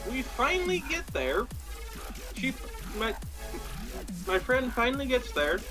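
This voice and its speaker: Young american guy, pretty high voice, rambling casual story, pokes fun at himself, music playing behind. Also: huge pitch swings, unhurried. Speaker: podcast storyteller. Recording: background music throughout.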